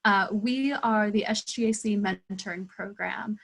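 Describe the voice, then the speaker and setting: A woman's voice: mid-range voice, fairly even intonation, unhurried, vocal fry, slightly rough. Young woman hosting a webinar on earbuds. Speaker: host. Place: indoor room at home. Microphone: earbuds on video call.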